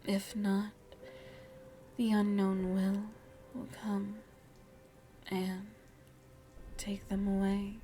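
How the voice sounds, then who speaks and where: A woman playing a seductive, motherly vampire in an ASMR roleplay, speaking in a hushed, breathy, coaxing way with quiet menace, close to the mic. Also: mid-range voice, monotone, extremely slow, pauses often, slight background noise. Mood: ominous, intriguing. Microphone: close microphone.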